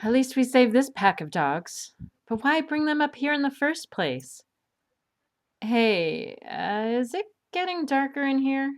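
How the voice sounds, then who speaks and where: A woman's voice: high voice, big pitch swings, a little slow. A woman acting a practical swashbuckling heroine in an audio drama, with a dry, sardonic manner. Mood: impatient.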